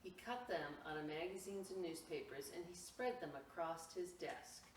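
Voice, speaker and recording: A woman reading a picture book aloud to children as a storytime reader, expressively and with pauses, adding simple explanations for young listeners. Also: on the low side, expressive. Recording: roomy, distant mic, faint noise.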